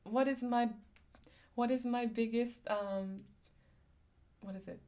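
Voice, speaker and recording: A woman's voice: fairly high pitch, steady tone, slow, warm tone. Giggly woman with a lisp. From San Diego, USA. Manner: giggly, chatty, reads comments aloud. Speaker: live-stream host reading chat. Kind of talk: casual live-stream chat.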